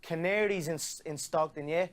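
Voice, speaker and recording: A man's voice: on the high side, normal speed. A man needles his opponent with taunts. He repeats his jabs over and over in pointed trash talk. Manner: needling, repetitive.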